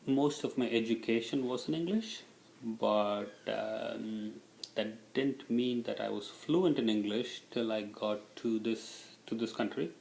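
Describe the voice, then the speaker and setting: A man's voice: fairly low pitch, slow pace, creaky, darkish tone, echoey room, a little hiss or hum, rough recording. A middle-aged man from India, a native Tamil speaker with an Indian accent, answering interview questions in a casual, reflective way.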